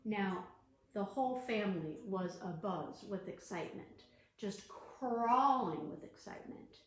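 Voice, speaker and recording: A woman librarian reading a storybook aloud to children on a livestream, gentle and encouraging. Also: medium pitch, expressive, unhurried. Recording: echoey room.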